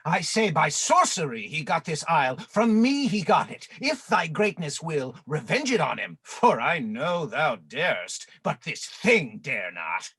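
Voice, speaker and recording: An actor playing a fawning, vengeful half-fish monster servant with a raspy, gravelly voice in a Shakespearean radio play. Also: male voice, a little high, sing-song, unhurried, few pauses, fairly bright tone, dry recording, full character voice. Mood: vengeful, eager. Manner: grovelling and bitterly indignant, archaic verse speech.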